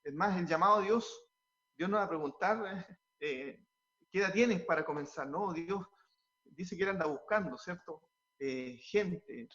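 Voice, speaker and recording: Man, pastor, earnest, halting, repeats words, fairly high voice, muffled lo-fi sound. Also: fairly bright tone. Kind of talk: interview answers, turning preachy.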